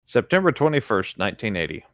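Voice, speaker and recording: A man reading a story aloud for a comedy podcast as co-host, in an amused, expressive way. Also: fairly low pitch, fast, dry recording, clean studio sound.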